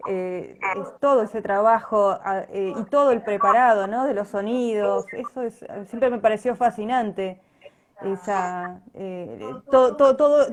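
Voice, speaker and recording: Woman, Argentine Rioplatense accent, casual interviewee, mid pitch, clear crowd chatter behind. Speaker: radio drama actress being interviewed. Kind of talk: casual live conversation.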